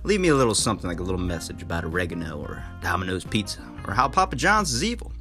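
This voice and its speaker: A man's voice: deep voice, very animated, very fast, pushed and bright. A man as podcast host giving a casual promotional outro. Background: guitar music.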